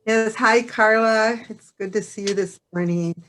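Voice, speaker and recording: A woman's voice: on the high side, steady tone, a little slow, a bit bright. A woman introducing herself on a video call in a friendly, chatty way. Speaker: public health staff guest. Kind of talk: self-introduction.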